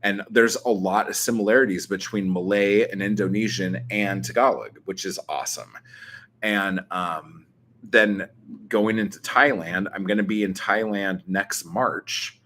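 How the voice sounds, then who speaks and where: Slow, low-voiced Southern Californian man. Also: fairly bright tone, a little hiss or hum. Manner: rambling with many ums and likes, swears casually. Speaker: channel host answering viewer questions. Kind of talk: casual vlog chat.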